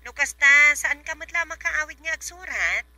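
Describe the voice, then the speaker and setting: An actress playing a skeptical, teasing village woman with an Ilocano accent and drawn-out delivery in a radio comedy. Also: sits high, lively intonation, pushed and bright, heavily acted. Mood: skeptical, surprised. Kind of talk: comedic radio drama acting.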